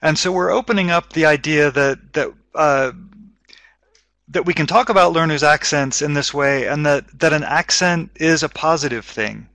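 A middle-aged man leads an English-teaching webinar from a home office. His voice is bright and slightly high, dry and close on a headset mic, and he explains steadily with small restarts. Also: no echo. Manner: measured, explaining with frequent restarts. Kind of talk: educational webinar talk.

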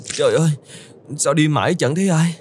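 A man voicing an excitable, self-pitying young male camel in an animated fairy tale, exclaiming and talking to himself. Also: on the high side, brisk, slight background noise, close to an everyday voice. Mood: distressed. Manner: exclamatory, whining, talks to himself.

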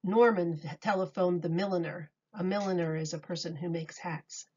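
A woman reading a picture book aloud to children like a teacher, deliberate and expressive. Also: fairly low pitch, slightly rough. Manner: deliberate, expressive, explains words for young children. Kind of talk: read-aloud storybook narration. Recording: dull lo-fi recording.

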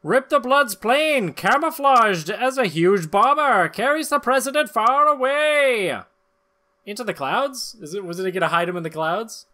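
A man narrates an old comic book for a podcast. His voice is bright and forceful. He reads theatrically and sounds amused, dropping into casual chat. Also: very high-pitched, lively intonation, measured pace. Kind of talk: dramatic comic-book reading with casual banter. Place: small room at home, video call.

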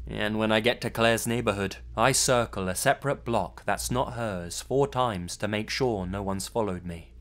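A man's voice: fairly low pitch, fairly bright tone. A man narrating a true scary story in the first person, with tense, dramatic storytelling.

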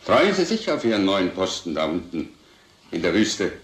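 An actor playing a composed, genial police commandant, courteous and official, in an old crime drama. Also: male voice, sits low, big pitch swings, normal speed. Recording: roomy old soundtrack, some noise.

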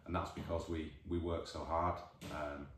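A man in his forties with a Northern English accent, a football manager giving a measured, thoughtful post-match interview, recorded by a distant camera in an empty changing room. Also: very deep voice, little pitch movement, slight background noise. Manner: measured, thoughtful, many restarts. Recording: echoey room, voice from far off.

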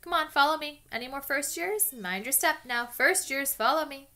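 Big hearty gamekeeper, as a woman reader does him, calls out loudly. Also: sits high, moderate pace, voice pushed up. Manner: calling out loudly. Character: huge bearded gamekeeper, booming and kindly.

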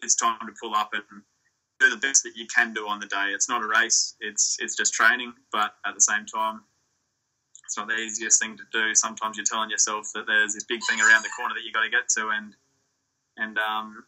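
A young Australian man, a surf ski champion, answering interview questions in a relaxed, rambling way over a video call from a small indoor room. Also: lowish voice, fairly quick, rough recording. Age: young adult. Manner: relaxed, rambling, with restarts and fillers. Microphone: laptop or phone on a video call.

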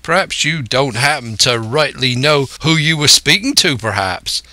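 A man voicing a hearty, domineering one-legged seafaring landlord in an audiobook, with booming, old-fashioned sailor talk. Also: lively intonation, moderate pace, bright, forceful tone, no echo. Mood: stern, bullying.

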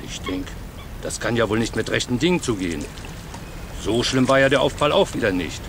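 An actor playing a gruff, excitable harbourmaster in a radio play, talking in blunt colloquial bursts. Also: male voice, mid-range voice, a little fast, close to an everyday voice. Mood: agitated, blunt. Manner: blunt, colloquial, clipped phrases. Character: gruff, excitable male harbourmaster giving witness account. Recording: noisy waterside sound effects behind.

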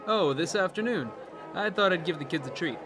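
Guy doing brash college joker, radio drama, high pitched, music playing behind. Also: animated, fairly quick, a crowd in the background. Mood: boisterous. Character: brash, jovial young college man. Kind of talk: old-time radio drama acting.

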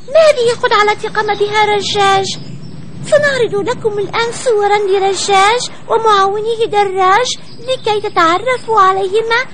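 Super high duck newsreader, earnest warnings, cartoon bulletin, bit of noise. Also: female voice, a bit bright. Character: female duck television newsreader, earnest and urgent.

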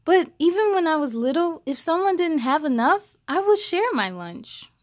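A young American woman hosting a children's story time, reading aloud expressively with playful, animated delivery and friendly asides. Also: very high voice, normal speed, no room sound. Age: young adult. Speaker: host of a children's read-aloud show.

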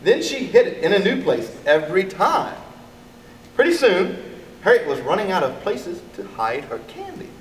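A man's voice: high-pitched, moderate pace. Echoey middle-aged American man reading to children. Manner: expressive, addresses children directly. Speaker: elementary school educator reading to pupils. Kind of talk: children's picture book read-aloud. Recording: echoey, distant mic, background noise.

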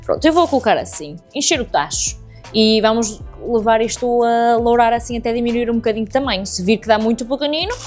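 Young woman, European Portuguese accent, bright higher voice, chatty cooking vlog, faint music behind. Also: expressive, fast talker. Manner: chatty, addresses viewers directly while cooking. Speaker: cooking vlogger. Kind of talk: casual cooking tutorial. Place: home kitchen, indoors.

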